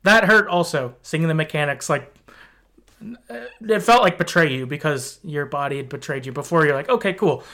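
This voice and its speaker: Man, slightly high voice, casual podcast talk, conversational, hedging, story recap. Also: moderate pace, sharp tone. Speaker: podcast host.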